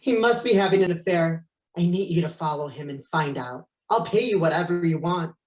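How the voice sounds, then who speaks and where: In a roomy, echoing recording, a man acts out a gruff husband in his fifties, indignant and jealous. It is a comedy cartoon script, read at a mid-range pitch. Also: fairly quick, darkish tone, close to an everyday voice. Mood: distressed, suspicious. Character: gruff, jealous fifty-year-old husband, a distraught client. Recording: roomy, echoing remote microphone.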